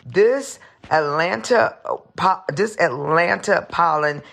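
A woman from Savannah, Georgia, raspy from pollen, chatting in a casual voicemail to podcast hosts. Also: fairly low pitch, animated, takes it slowly, fairly bright tone. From Savannah, Georgia, USA. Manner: chatty, exasperated rambling. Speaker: listener leaving a voicemail.

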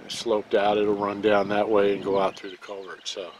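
A man's voice: fairly low pitch, completely flat delivery, moderate pace, slight background noise. A man casually narrating his own outdoor project, easygoing and rambling, recorded on a handheld camera in an open field.

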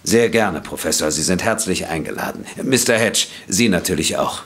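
A man playing a wronged, earnest and dignified doctor in an audio drama, speaking in a measured, formal way. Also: sits low, lively intonation, fairly bright tone, breathy edge, heavily acted. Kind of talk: German audio drama acting.

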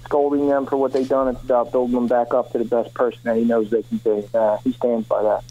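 A man calls into a radio show, his voice warm and mellow. He speaks earnestly and at a measured pace, with plenty of ums and uhs, and the line sounds dull and muffled. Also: medium pitch, level tone, slight background noise. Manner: earnest, measured, with frequent fillers. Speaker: guest, veterans memorial organizer. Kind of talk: radio interview.

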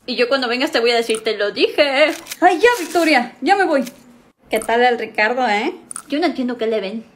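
A young woman acting out a comedy sketch, switching quickly between characters in a small room at home. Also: on the high side, lively intonation, a little hiss or hum. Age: young adult.

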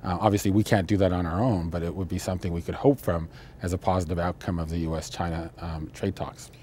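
An older man giving measured, explanatory analysis as an expert in a studio interview. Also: low-pitched, fairly even intonation, dark tone, a little hiss or hum. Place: indoor studio, seated interview.

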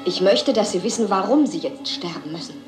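A woman's voice: mid-range voice, expressive, fairly quick, reverberant, music in the background, lightly acted. An actress playing a desperate, vengeful young woman in a German crime radio play, intense and commanding. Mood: anguished, accusing.

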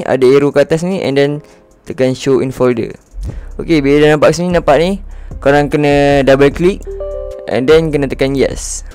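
Casual young Malaysian man, higher-pitched voice. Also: a little mellow, clear, resonant tone, no echo. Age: young adult. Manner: informal, slangy, addressing viewers directly. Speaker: YouTube host. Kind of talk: casual tutorial.

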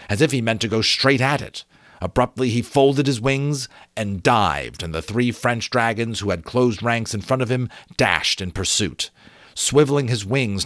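A man's voice: fairly low pitch, fairly bright tone, no room sound. A man in his thirties reading an adventure novel aloud expressively, with occasional small stumbles, into a close microphone. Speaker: audiobook reader. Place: small home room with bookshelves. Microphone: close desk microphone.

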